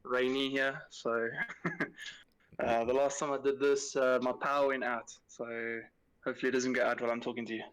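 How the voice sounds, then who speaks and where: A man's voice: mid-range voice, flat delivery, brisk, fairly bright tone, clean tone, rough recording. A man from South Africa calling in to a show, chatting casually with hesitant fillers. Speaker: caller. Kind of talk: casual call-in chat.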